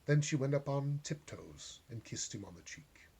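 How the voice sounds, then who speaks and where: A man reading a short story aloud as a storyteller, with gentle, deliberate and dramatic phrasing. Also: mid-range voice, animated, vocal fry, slight background noise.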